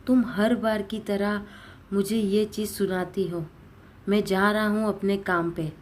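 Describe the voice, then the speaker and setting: A woman's voice: medium pitch, steady tone, measured pace, lightly acted. Woman doing a grumpy husband, curt n dismissive, family story, bit noisy. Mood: dismissive, annoyed. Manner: brusque, dismissive. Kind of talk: dramatised storytelling.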